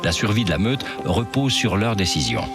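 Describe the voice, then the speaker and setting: Very deep male narrator over loud music. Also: big pitch swings, moderate pace. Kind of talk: scripted documentary narration for children.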